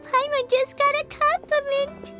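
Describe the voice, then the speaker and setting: A woman's voice: very high voice, flat delivery, unhurried. A woman voicing a tiny, cheeky fairy companion in a game, bubbly and blunt, over game music. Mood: chirpy. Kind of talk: game voice acting.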